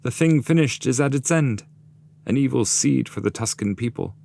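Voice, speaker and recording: Bleeding, handless damned spirit, played by male reader: anguished cries, raised pitch, epic verse drama. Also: animated, normal speed, creaky, dark tone. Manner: crying out, anguished. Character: handless, bleeding damned soul, wailing in regret. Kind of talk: dramatic epic poetry reading.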